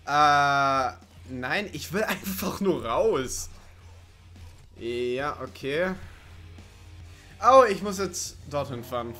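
A man's voice: a little high, expressive, extremely slow, pauses often, sharp tone, soft background music. A man giving casual let's play commentary in a wry, sarcastic, joking way.

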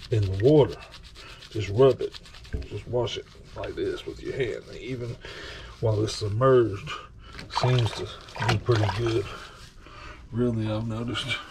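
A man's voice: lowish voice, a little slow, long pauses. Folksy man chatting over running water. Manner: rambling, folksy, talks to the dog. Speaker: vlogger washing his dog. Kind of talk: casual running commentary. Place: home kitchen, at the sink. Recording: running water noise behind.

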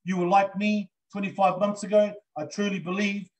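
A man's voice: high voice, level tone, normal speed, poor audio. A middle-aged man with a New Zealand accent giving an earnest, enthusiastic live business presentation on a video call, with many fillers. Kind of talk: live online sales presentation. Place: indoors before a green backdrop.

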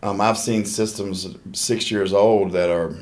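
A man in his thirties with a fairly low voice speaks as an interview guest. His talk is halting, full of ums and restarted phrases. He sits at a desk podcast mic in a small office. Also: fairly even intonation, a little mellow. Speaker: HVAC technician guest. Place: small office studio, indoors.

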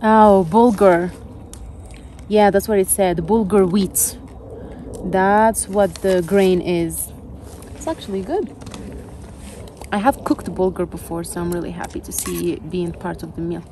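A woman's voice: fairly low pitch, steady tone, measured pace, long pauses, dark tone, slight background noise. A young woman casually talking viewers through cooking a camp meal outdoors, step by step, with her camera a short way off. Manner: chatty, step-by-step narration. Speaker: vlogger. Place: campsite outdoors by a fire.

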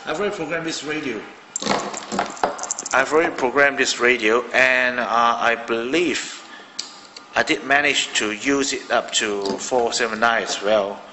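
A man's voice: medium pitch, measured pace, pushed and bright, long reverb tail, a little hiss or hum. A man from Malaysia casually demonstrating a radio at his desk, thinking aloud with many fillers. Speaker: hobbyist radio reviewer. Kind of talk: casual hands-on product demo. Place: indoor room at a desk.

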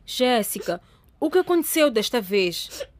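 Animated, caring young woman. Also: fairly high pitch, a little slow, no echo. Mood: concerned. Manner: animated, concerned. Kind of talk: radio drama acting.

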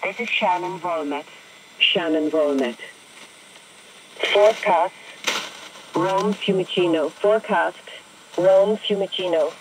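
A woman's voice: fairly low pitch, takes it slowly, pauses often. A woman reading formulaic aviation weather reports in clipped, segmented, impersonal phrases over shortwave radio. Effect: shortwave single-sideband radio. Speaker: aviation weather broadcast announcer. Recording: noisy shortwave radio reception. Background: radio static and noise.

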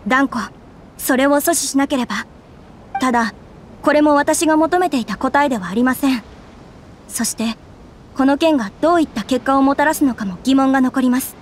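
High-voiced, polite young noblewoman played by an actress. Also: a little hiss or hum, close to an everyday voice. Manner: formal, soft-spoken politeness. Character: gentle, troubled young noblewoman, polite and resolute. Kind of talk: anime game voice acting.

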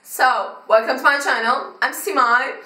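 A woman in her twenties with a Turkish accent, introducing her vlog channel to the camera, energetic and fast-talking, in a small bedroom. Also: sits high. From Turkey. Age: 20s. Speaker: vlogger. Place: small decorated bedroom, indoors. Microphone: camera mic from a few feet away. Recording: roomy, somewhat distant mic.

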